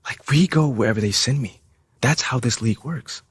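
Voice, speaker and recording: A man playing a defiant, wounded young pro basketball player in a naturalistic video game drama, speaking informally and emotionally with a husky voice. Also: mid-range voice, normal speed, no room sound, clean studio sound. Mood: subdued, weary. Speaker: actor in a video game story cutscene.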